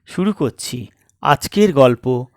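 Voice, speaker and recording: A man narrating a scripted comic story in a dramatic, theatrical way with suspenseful pauses. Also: mid-range voice, expressive, darkish tone, no echo, studio quality. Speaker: storyteller and channel host.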